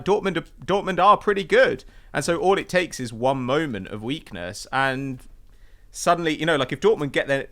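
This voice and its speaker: A man's voice: fairly high pitch, fairly bright tone. A man hosting a casual football podcast, talking in a chatty, conversational way.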